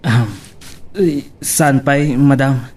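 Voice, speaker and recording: A man's voice: mid-range voice, takes it slowly, darkish tone, natural voice. A man playing a hesitant, submissive driver and henchman in an Ilocano radio love drama.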